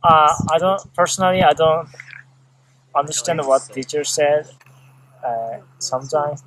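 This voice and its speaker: Young Japanese man answering in careful, halting English, noticeable background noise. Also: a little high. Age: late teens. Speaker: student interviewee.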